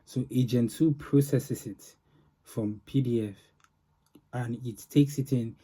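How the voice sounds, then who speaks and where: A man giving an informal software demo as a developer, narrating in halting phrases with pauses. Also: medium pitch, fairly even intonation, breathy edge. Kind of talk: informal product demo walkthrough.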